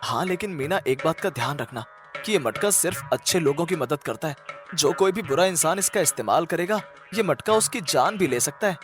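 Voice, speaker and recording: A man's voice: fairly high pitch, lively intonation, fairly quick, over music, natural voice. A man voicing a kind, cautious poor village husband in a cartoon story, speaking earnestly and emphatically. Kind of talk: Hindi cartoon story dialogue.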